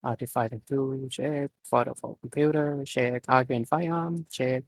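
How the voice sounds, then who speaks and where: A man's voice: mid-range voice, fairly even intonation, a little slow, dry recording. A man doing an informal live amateur reading of a story, stumbling over words now and then. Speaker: reader of fan fiction at an online readalong.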